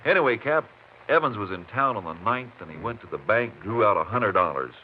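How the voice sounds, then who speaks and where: Deep-voiced Texas Ranger, played by a man, old radio. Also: animated, brisk, close to an everyday voice. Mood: businesslike. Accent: American. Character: steady, dogged Texas Ranger investigating a murder. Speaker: lead actor and narrator. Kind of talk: 1950s radio western acting and narration. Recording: muffled, noisy 1950s radio recording.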